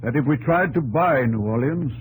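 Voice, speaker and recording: An actor playing a thoughtful, fatherly American president in a 1950s radio drama, with a gentle, measured, slightly hesitant manner. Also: mid-range voice, expressive, lightly acted. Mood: grave. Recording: noisy old 1950s radio recording.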